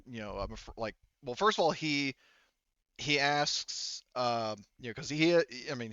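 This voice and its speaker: A man narrating improvised tabletop roleplay as game master, halting and conversational, on slightly dull, dry audio. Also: mid-range voice, fairly bright tone, no echo.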